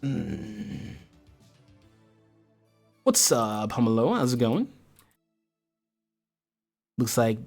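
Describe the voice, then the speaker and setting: An American man hosting a casual livestream chat, talking in an impassioned, slangy way and swearing freely. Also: on the high side. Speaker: livestream host.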